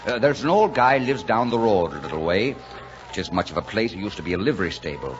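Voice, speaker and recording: An actor playing a kindly, gruff, lonely truck driver in folksy 1940s radio drama style. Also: male voice, fairly low pitch, lively intonation, a little fast, natural voice. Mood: reassuring. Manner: folksy, plainspoken. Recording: muffled, noisy 1940s radio recording.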